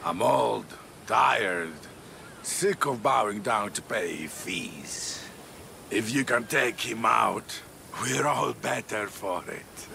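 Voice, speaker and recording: A man's voice: animated, takes it slowly, gravelly, pushed and bright, exaggerated voice. An actor playing a tired, fearful old townsman who gives information cautiously and hesitantly in a video game cutscene. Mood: weary, resentful. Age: older. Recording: rumbling, noisy background.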